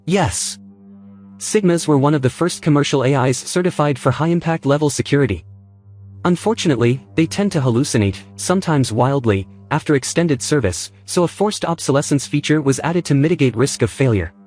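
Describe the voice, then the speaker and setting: A man's voice: fairly high pitch, lively intonation, a little fast, darkish tone. A man playing an eager, loyal AI sidekick in an audio drama, polite, earnest and precise. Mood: earnest, informative. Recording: music under the dialogue.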